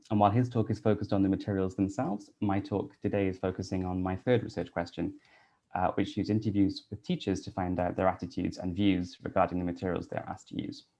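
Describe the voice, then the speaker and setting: A man in his thirties from Wales, a teacher and researcher giving a prepared conference talk in a measured, read-out way with soft "um" fillers. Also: low voice, dull audio.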